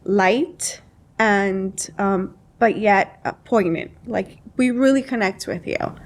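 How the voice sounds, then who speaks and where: A woman's voice: mid-range voice, takes it slowly. A woman hosting a podcast, chatting casually with her guest in person. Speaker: podcast host interviewing an author. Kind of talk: casual chat. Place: indoors, in-person podcast recording.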